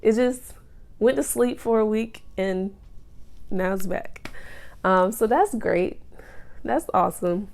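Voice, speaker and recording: Slow, gravelly woman, a bit nasal. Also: medium pitch, a little mellow. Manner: chatty, explaining step by step, many ums and you-knows. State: nasal from a cold. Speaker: pregnancy vlogger. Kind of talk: casual chat to camera.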